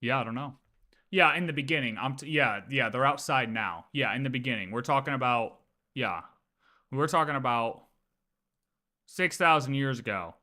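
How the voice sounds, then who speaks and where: A man livestreaming in a casual, animated way, talking through lore with viewers, repeating phrases and often checking that they follow. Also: mid-range voice, moderate pace. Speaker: streamer.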